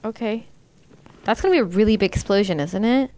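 A woman's voice: medium pitch, brisk, warm tone. A woman chatting casually as a reaction host, with short informal comments.

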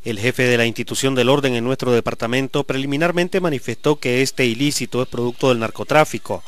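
Male news narrator, scripted voice-over, formal and steady delivery. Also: medium pitch, fairly quick, no echo. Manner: formal, even news read. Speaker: news reporter.

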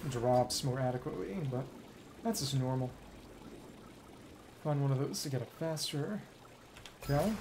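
A man giving casual gameplay commentary as a streamer, thinking aloud in short, halting fragments. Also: medium pitch, background noise. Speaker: game streamer. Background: video game music.

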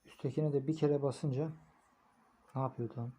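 A man's voice: mid-range voice, steady tone, normal speed, mellow, breathy edge. A man giving a casual, hands-on product review, thinking aloud informally as he records on a phone. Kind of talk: casual hands-on unboxing and installation commentary.

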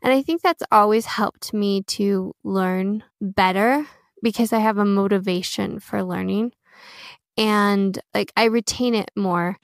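A woman's voice: medium pitch, measured pace, vocal fry, no room sound, studio quality. A woman with an American accent chatting casually as an interview guest, telling stories and quoting herself as she goes. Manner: chatty, self-quoting storytelling with frequent "like" and "you know". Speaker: interview guest, Chinese teacher.

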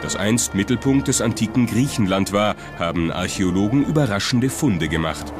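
A man's voice: lowish voice, lively intonation, normal speed. A man reading scripted documentary narration as the narrator. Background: prominent music.

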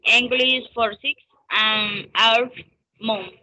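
Very slow-speaking young Latin American woman, Spanish accent. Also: a little high, steady tone, pushed and bright. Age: 20s. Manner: halting, careful word-by-word delivery. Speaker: English learner. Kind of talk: scripted practice conversation in halting English. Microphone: video call. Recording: dull lo-fi video call audio.

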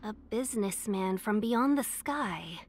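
A woman's voice: medium pitch, expressive, slow pace, faint music behind. A woman voicing a young fox-eared merchant in a video game, silver-tongued, polite and playfully coy. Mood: chatty, courteous. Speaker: game character voice. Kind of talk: scripted video game character voice lines.

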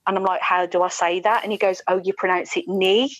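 A woman's voice: medium pitch, fairly even intonation, fairly quick, no room sound. A woman in her forties with a British accent, talking candidly and emphatically as a radio presenter being interviewed over a video call. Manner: chatty, emphatic, with mimicked asides. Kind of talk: candid conversational interview talk. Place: indoor room at home, video call. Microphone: wired earphone headset on video call.

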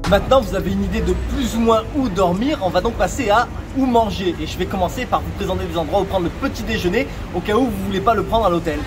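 A man's voice: sits high, quick pace, few pauses, music in the background. A man in his thirties vlogging his travels, chatting playfully to viewers from the street and cafés with the camera at arm's length. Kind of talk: travel vlog narration.

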